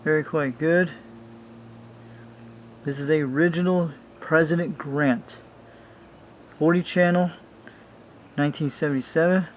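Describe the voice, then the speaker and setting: A man's voice: a little high, fairly even intonation, measured pace, long pauses. Man casually rambling over noisy home video. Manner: informal, rambling, addressing viewers as you guys. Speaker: hobbyist showing a vintage CB radio. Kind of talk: casual hands-on show-and-tell.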